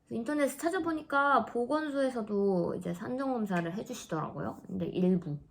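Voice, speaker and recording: A woman's voice: a little high, lively intonation, fairly quick, creaky. Chatty woman in her thirties. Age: 30s. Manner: chatty, laughs often, soft asides. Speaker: vlogger. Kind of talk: casual vlog narration.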